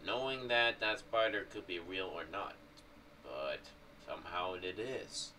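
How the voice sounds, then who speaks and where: Young guy rambling commentary, kinda halting, sounds a bit far from the mic w faint noise behind. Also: low-pitched, sharp tone. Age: young adult. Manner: rambling, halting, repeats himself. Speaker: YouTube vlogger. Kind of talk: casual commentary on a horror audio series. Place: small home room. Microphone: condenser mic with pop filter on boom arm.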